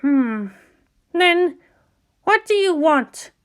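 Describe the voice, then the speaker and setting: A woman's voice: very high-pitched, lively intonation, drawn-out words, exaggerated voice. A woman voicing an old male monk, a sardonic teacher who asks pointed, challenging questions in a dramatised story. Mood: pointed questioning. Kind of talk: dramatised fan fiction reading.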